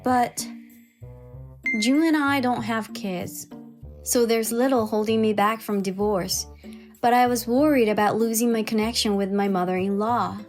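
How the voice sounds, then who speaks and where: Woman acting a young wife, high voice, earnest, expressive, wary and hurt, manga story dub, music behind. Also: unhurried, natural voice. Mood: troubled. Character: Madoka, wary, hurt young wife narrating her troubles.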